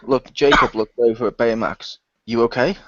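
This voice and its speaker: A man playing a doubtful, low-key hero in an amateur radio play. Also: normal speed, a bit bright, no echo, lo-fi sound, natural voice. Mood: unsure. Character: doubtful, low-key male hero in space ranger form.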